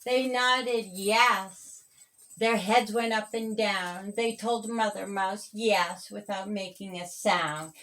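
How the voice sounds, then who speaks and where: A woman reading her own rhyming children's story aloud in a deliberate, singsong storybook manner. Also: medium pitch, steady tone, fairly bright tone.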